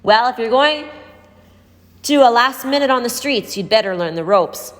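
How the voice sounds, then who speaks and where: A woman's voice: on the high side, lively intonation, normal speed, pitched above the performer's own voice, lightly acted. Streetwise homeless young man for a read-aloud woman narrator playing him: urgent, wary, plain-spoken, long reverb. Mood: matter-of-fact. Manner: blunt, warning, urgent. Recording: hall-like reverb.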